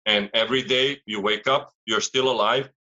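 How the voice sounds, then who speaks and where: A man's voice: on the low side, steady tone, normal speed, bright, forceful tone, lo-fi sound. A man, a strength coach, talking casually and giving advice in a rambling, philosophical way.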